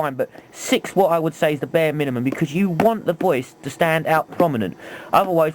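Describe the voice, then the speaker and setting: A man's voice: on the high side, rough recording. A man giving an informal, chatty hands-on tutorial, often asking rhetorical questions. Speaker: video presenter, hobbyist demonstrator. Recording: homemade video with faint background noise.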